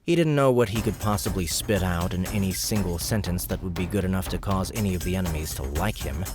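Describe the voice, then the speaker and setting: Low-voiced male audiobook narrator. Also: fast, music in the background. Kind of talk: scripted narration.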